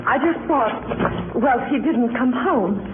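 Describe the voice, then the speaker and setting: An actress playing a shaken, timid middle-aged wife in a 1940s radio drama, speaking hesitantly and properly. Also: female voice, fairly high pitch, takes it slowly. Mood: dazed, anxious. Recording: tinny, very noisy 1940s radio broadcast.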